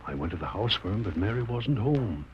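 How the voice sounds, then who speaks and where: An actor playing a crooked, hot-tempered Western sheriff with folksy rural speech in an old radio drama. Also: male voice, lowish voice, lively intonation, brisk, dull audio, a little hiss or hum, lightly acted. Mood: sly, scheming. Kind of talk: 1940s radio western acting.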